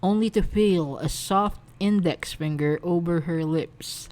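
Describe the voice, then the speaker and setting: Low-voiced woman narrating romance. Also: unhurried, darkish tone. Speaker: fan fiction narrator. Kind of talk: soft scripted romance narration.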